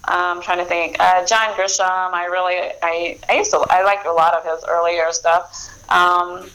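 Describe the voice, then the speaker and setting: A woman's voice: lowish voice, little pitch movement, normal speed, fairly bright tone, poor audio. A woman in her forties, an author guest, talking in a rambling, easygoing way with many restarts. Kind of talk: casual interview chat.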